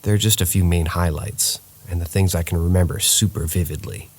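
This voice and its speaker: A man narrating true paranormal stories in a steady, somber reading voice. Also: low-pitched, brisk.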